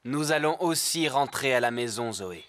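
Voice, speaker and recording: A man's voice: mid-range voice, animated, moderate pace, very noisy, lightly acted. A man voicing a friendly zebra father, a postman, in a French cartoon dub. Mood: relaxed, friendly.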